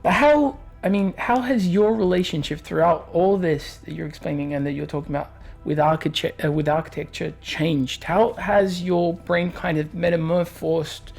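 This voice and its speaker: Gravelly Portuguese-accented podcast host. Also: male voice, on the high side, steady tone, normal speed, a little mellow, faint music behind. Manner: affirming, with frequent "yeah" and long winding questions. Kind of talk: interview hosting.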